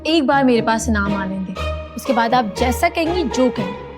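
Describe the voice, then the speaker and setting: High-pitched sassy young Pakistani dreamer played by an actress. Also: female voice, lively intonation, normal speed, loud music behind the voice, lightly acted. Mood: coaxing. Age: young adult. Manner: playful, sassy, dramatic. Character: dreamy, cheeky young middle-class daughter, cocksure about riches. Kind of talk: TV family drama acting.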